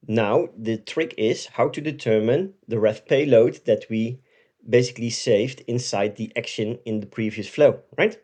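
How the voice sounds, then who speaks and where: Middle-aged man, dark mellow tone, average pitch, step-by-step tutorial delivery, explanatory. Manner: explanatory, step by step, with frequent "right?" check-ins. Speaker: tutorial presenter. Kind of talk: software tutorial walkthrough.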